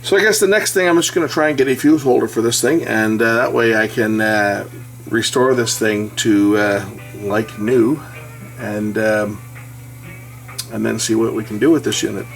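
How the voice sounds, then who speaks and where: A middle-aged man giving a casual, unscripted hands-on repair commentary, thinking aloud with pauses as he works. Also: on the low side, creaky, soft background music, low-quality recording. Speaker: electronics repair hobbyist.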